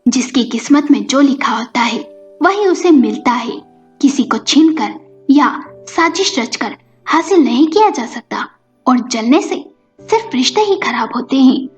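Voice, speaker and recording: A woman's voice: high voice, expressive, a little slow. A woman storyteller narrating a scripted story. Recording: dull lo-fi audio with music. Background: background music.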